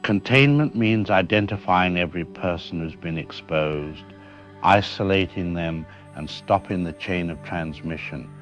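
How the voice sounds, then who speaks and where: A man playing a measured emergency-response official explaining things in an audio drama news interview. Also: low-pitched. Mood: measured, explanatory. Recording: music bed under the voice.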